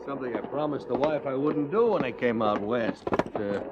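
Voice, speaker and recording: An American actor playing a chatty, genial traveler out West who spins yarns in an old radio western. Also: male voice, mid-range voice, normal speed, close to an everyday voice. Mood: jovial. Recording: dull, noisy old radio recording.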